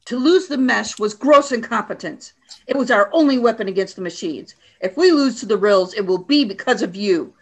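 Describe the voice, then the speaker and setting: A woman's voice: mid-range voice, lively intonation, normal speed. A woman playing a stern alien military leader in a radio play, speaking in a formal, commanding, scornful way. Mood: scornful fury.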